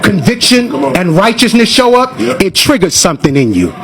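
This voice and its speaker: A man's voice: high voice, very animated, normal speed, a crowd in the background. A forty-year-old American man giving impassioned public testimony to a committee in an emphatic, confrontational, oratorical manner. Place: government committee hearing room. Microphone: hearing microphone.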